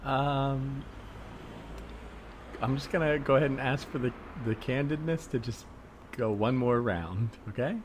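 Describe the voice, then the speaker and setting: A man's voice: medium pitch, unhurried, pauses often, lightly acted. A man playing an awkward, polite, peace-minded city liberal, tentative and diplomatic in a conversational improvised comedy scene. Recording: faint noise, added ambient soundscape. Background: faint ambient sound effects.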